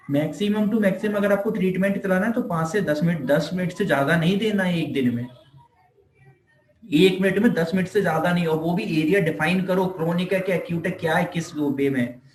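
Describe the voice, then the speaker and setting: The voice is an Indian man's, pitched a little high, with clear room echo around it. He lectures informally like a teacher, circling back over his points. He often poses a short question and then answers it himself. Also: a little hiss or hum. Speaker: physiotherapy teacher. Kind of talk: informal explanatory lecture in Hindi mixed with English terms. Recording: echoey room.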